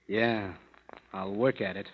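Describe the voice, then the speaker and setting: A man's voice: lowish voice, steady tone, slow, a little hiss or hum. An actor playing a gloomy, wisecracking young man in a 1930s radio drama. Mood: subdued. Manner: sardonic, fatalistic. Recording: muffled old radio broadcast in a hall.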